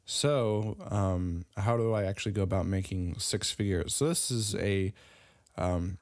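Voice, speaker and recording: A young adult man giving a casual online business lesson, halting often with fillers and restarts. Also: low voice, steady tone, dry recording, studio quality. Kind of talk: casual instructional talk.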